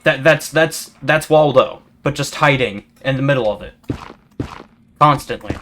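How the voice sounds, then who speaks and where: A man giving rambling, monotone commentary over gameplay, full of fillers and restarts, as a gaming video creator. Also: medium pitch, unhurried, soft background music. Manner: rambling, self-described yapper with many fillers and restarts. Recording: steady background noise.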